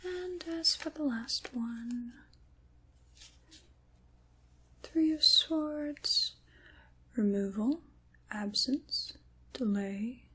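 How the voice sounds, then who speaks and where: An ancient dark witch, played by a woman, whispers with sly menace in an ASMR roleplay. Her voice is very breathy and fairly high, and she takes her time over every word, drawing it out with theatrical, old-world deliberation. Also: full character voice, poor audio. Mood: ominous, incantatory. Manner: slow, deliberate, theatrical, archaic phrasing.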